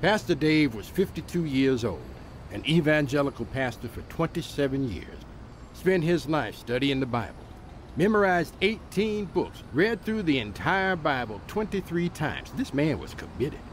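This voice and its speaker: A man's voice: on the high side, very animated, moderate pace. An actor playing a blunt, wry man telling a story in an audio drama. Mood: matter-of-fact. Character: blunt, wry man recounting a church betrayal. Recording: noisy outdoor urban traffic ambience.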